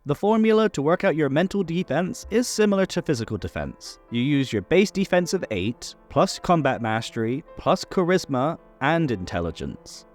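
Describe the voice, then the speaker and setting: A man's voice: on the high side, very animated, normal speed, few pauses. A man presenting a tabletop game rules guide in a methodical, scripted explainer style. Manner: clear, methodical instructional delivery. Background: background music.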